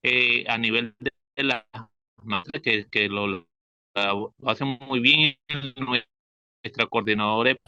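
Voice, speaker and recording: A Venezuelan man speaks slowly and haltingly, searching for words and repeating himself. His voice is bright and forceful. It comes over a dull, lo-fi call. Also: mid-range voice, steady tone, dry recording. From Táchira, Venezuela. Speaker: school religion teacher. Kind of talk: spontaneous audience comment.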